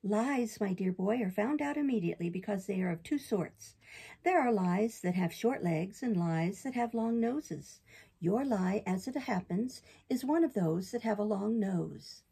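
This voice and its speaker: A woman voicing a kind, motherly fairy in a storybook reading, speaking with calm, tender reassurance. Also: mid-range voice, measured pace, gravelly, breathy edge, exaggerated voice. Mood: knowing.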